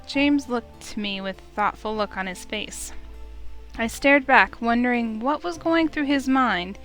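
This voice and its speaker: Higher-voiced woman gaming host. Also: unhurried, fairly bright tone, rough recording. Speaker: let's play host. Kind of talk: casual intro and read-aloud story narration. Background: game music.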